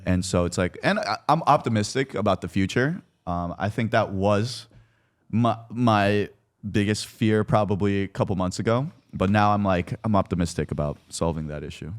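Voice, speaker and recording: A man's voice: on the low side, normal speed. A man talking candidly and casually as a podcast guest, hesitant and self-reflective.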